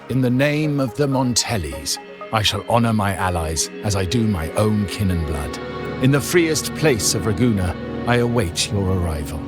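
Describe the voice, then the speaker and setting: A courtly middle-aged nobleman with a very low, animated voice. He speaks with formal, pompous deliberation, like a scheming heir in a game drama. Faint music plays behind him. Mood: formal, gracious. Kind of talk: dramatic video game dialogue.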